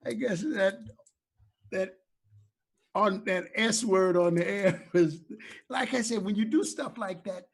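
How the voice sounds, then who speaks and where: A man's voice: high-pitched, slow pace, gravelly, long pauses. A man co-hosting an interview in a casual, chatty style. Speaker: interview co-host. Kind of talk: casual chat.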